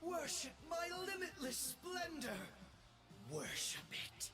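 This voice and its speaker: A megalomaniac young emperor from a video game, gloats in a theatrical, high voice that leaps wildly. He is slow and imperious, dropping from shouts to hushed menace, with music behind him. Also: lively intonation, distant mic, full character voice. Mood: menacing, exultant. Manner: grandiose, arrogant, swinging between shouts and hushed menace. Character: megalomaniac young self-crowned space emperor, gloating and unhinged.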